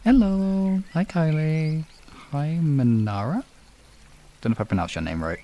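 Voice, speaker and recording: Animated British man with a creaky voice. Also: on the high side, unhurried. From United Kingdom. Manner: informal, sweary, rambling. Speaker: livestream host. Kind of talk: casual livestream chat, replying to viewers.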